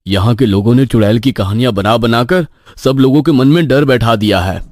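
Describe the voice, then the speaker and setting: A man's voice: mid-range voice, fairly quick, a little mellow, dry recording, clean studio sound, close to an everyday voice. A man playing a nervous, sceptical young stationmaster from the city in a cartoon horror story. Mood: dismissive.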